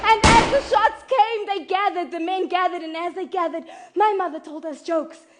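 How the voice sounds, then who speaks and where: Woman acting a very high-voiced, shaken child storyteller. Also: heavy background noise. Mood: anxious, rising. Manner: halting, repetitive, emotionally intense delivery. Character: shaken child earnestly recounting a violent night at home. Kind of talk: dramatic storytelling monologue.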